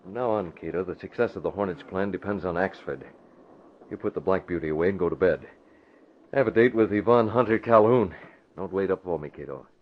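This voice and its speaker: An actor playing an urbane, assured newspaper publisher with secrets, in 1940s radio style. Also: male voice, low-pitched, brisk, lightly acted. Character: suave, secretive newspaper publisher, smoothly in command. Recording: dull vintage radio broadcast, faint noise.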